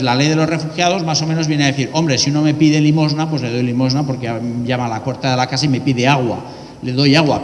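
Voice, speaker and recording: Man lecturing in a reverberant hall. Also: medium pitch. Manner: asks and answers his own rhetorical questions. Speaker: law professor giving a keynote lecture. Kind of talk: academic lecture. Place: ceremonial hall in a courthouse. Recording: long reverb of a large hall.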